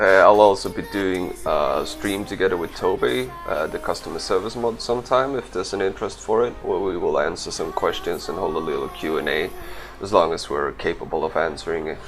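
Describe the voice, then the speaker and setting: A man's voice: deep voice, gravelly. A man giving casual, offhand commentary over gameplay as a gaming video creator.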